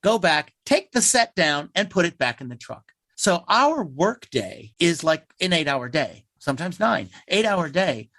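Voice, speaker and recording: A man's voice: fairly high pitch, sing-song, measured pace, hardly any pauses, fairly bright tone, no echo. A man who works as a playwright in a theater troupe, explaining things casually in an interview.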